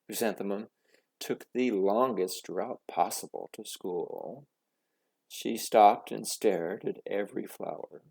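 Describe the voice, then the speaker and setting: A man reading a children's story aloud as a narrator, expressive, dramatic and theatrical. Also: lowish voice, slow, creaky, husky, rough recording. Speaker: story reader.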